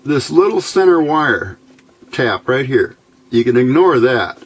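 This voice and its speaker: A man's voice: mid-range voice, big pitch swings, a little slow, a little hiss or hum. A man giving an informal hands-on technical explanation as an electronics hobbyist, in a plainspoken, folksy, methodical way.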